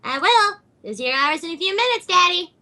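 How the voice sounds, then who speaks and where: A woman's voice: very high voice, expressive, fairly quick, pushed and bright, full character voice. A woman voicing a breezy, dismissive little girl in an amateur radio play, curt and sing-song.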